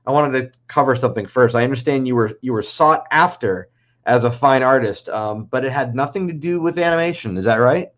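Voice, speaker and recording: A man with a medium-pitched voice hosts a casual online interview. The audio is a little muffled and lo-fi, and he speaks conversationally as he puts questions to his guest. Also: a little fast, a bit bright. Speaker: livestream host, interviewer. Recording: slightly dull, lo-fi livestream audio.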